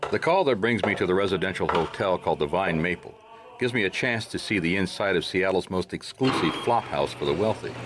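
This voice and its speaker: A laconic gumshoe in a radio detective play. His voice sits fairly low, and he delivers his lines with dry, casual wit. There is a faint noise behind him. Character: easygoing male private detective, wry and laconic. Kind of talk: radio detective drama, first-person hardboiled narration.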